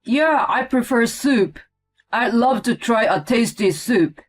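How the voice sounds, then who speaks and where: A woman's voice: fairly high pitch, animated, slow, faint music behind. Story role: grumbling, indignant male picky eater, female performer, whiny delivery. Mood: flustered, placating. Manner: indignant, complaining. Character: grumpy, whiny grown man, a picky meat-loving eater. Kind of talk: acted story dialogue.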